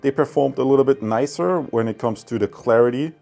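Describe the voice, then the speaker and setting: A man's voice: medium pitch, brisk, gravelly, mellow, soft background music. A man giving a casual spoken product review, addressing viewers directly and often repeating his phrases. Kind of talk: casual product review and radio range test commentary.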